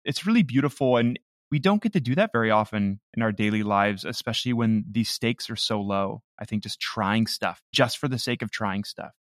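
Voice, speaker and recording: A man chatting casually and reflectively as an interviewed comedy creator, sometimes halting as he thinks. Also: fairly low pitch, expressive, dry recording, studio quality.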